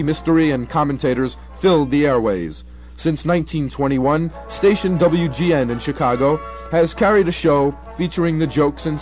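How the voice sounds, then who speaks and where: A man's voice: on the high side, a little mellow. A young American man reading scripted documentary narration. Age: young adult.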